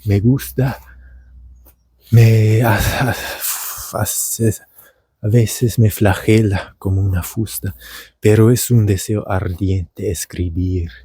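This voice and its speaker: Man, Argentine accent learned abroad, meandering theatrical monologue, very dark tone, dry sound. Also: fairly low pitch, fairly even intonation, slow pace, breathy edge, no room sound. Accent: Argentine Spanish learned by a German speaker. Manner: meandering, theatrical, with many fillers and restarts. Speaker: language teacher and podcaster. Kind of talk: rambling comedic monologue. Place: outdoors at dusk.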